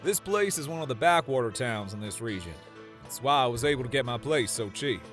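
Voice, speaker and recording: An actor playing an easygoing but tough cowboy in an audiobook drama, with a folksy American Western drawl. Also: male voice, mid-range voice, animated, fairly quick, a bit bright, natural voice. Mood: casual, explaining. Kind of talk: audiobook drama character acting. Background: music.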